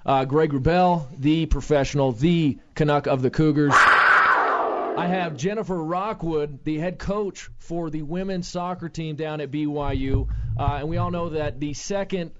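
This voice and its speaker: An energetic man hosts a sports radio show, with a fairly high voice for a man. He rambles with fillers and restarts. The sound is somewhat dull and lo-fi. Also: measured pace, noisy background. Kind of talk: casual sports radio talk.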